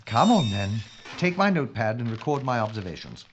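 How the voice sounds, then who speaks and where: An actor playing a gruff but courteous London police inspector in an audio drama, with a brisk, commanding, proper manner. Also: male voice, medium pitch, big pitch swings. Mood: steady, authoritative. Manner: brisk, commanding, proper British turns of phrase. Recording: dull, noisy recording with clicks.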